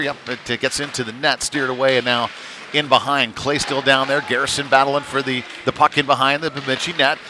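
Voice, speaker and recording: A man with a mid-pitched voice works as a play-by-play hockey commentator. He follows the action live in short, clipped phrases. Clear rink noise sits behind his voice throughout. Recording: noisy rink ambience.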